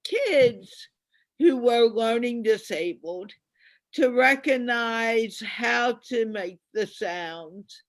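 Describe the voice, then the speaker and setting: A woman hosting a conversational interview, speaking in a deliberate, affirming way. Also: a little high, expressive, no echo. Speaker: host.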